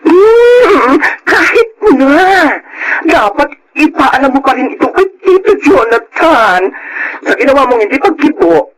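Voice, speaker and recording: Casting: sassy young Filipino woman, affected and exclamatory, very swoopy high voice, code-switching radio drama cousin. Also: lively intonation, unhurried, a little hiss or hum, poor audio. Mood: scandalized. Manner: affected, exclamatory, mixes English into speech.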